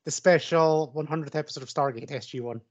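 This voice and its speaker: A man with a fairly high-pitched voice speaks as a panelist in a casual film chat. The sound is dry and close, slightly dulled by a lo-fi recording. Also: fast, no room sound. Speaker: podcast panelist.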